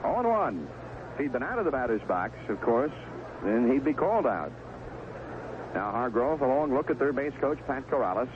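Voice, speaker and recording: A man's voice: medium pitch, very animated, moderate pace, muffled recording, noisy background. A man calling live baseball play-by-play in short, clipped phrases and conversational description, heard on a 1970s radio broadcast. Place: stadium broadcast booth. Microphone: broadcast microphone with headset earphone monitoring.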